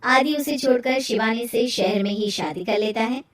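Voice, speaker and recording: High-voiced woman narrating, with roomy echo. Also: moderate pace. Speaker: story narrator. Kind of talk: scripted narration of a Hindi moral story. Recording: roomy echo, distant from mic.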